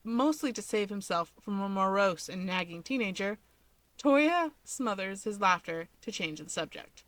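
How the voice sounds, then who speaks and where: A woman reading scripted story narration in an expressive manner. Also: mid-range voice, moderate pace, dry recording. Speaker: fan fiction reader.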